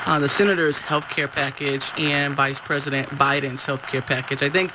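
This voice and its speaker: A male campaign advisor giving an interview, speaking in a measured way with many fillers and restarts. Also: a little high, steady tone, noisy background. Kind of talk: on-the-street interview.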